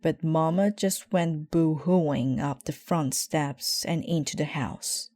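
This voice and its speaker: A woman reading a children's story aloud in a measured, expressive way. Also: sits low, no room sound. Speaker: storybook reader.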